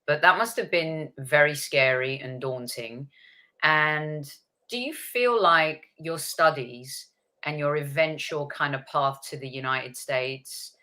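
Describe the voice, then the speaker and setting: A woman's voice: low voice, slow, bright, forceful tone. A woman in her fifties hosting an interview, asking long, winding questions. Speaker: host. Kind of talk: interview host.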